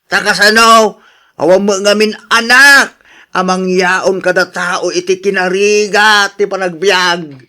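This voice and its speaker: A man's voice: sits high, steady tone, unhurried, sharp tone, exaggerated voice. An actor playing an exasperated aging father, lecturing emphatically in radio drama style. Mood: exasperated, scolding. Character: exasperated aging father pressing his daughter to marry.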